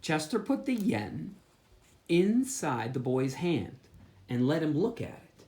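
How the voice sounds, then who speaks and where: A pastor reading a children's picture book aloud, with playful asides. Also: male voice, fairly high pitch, slow. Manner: animated storytelling with asides.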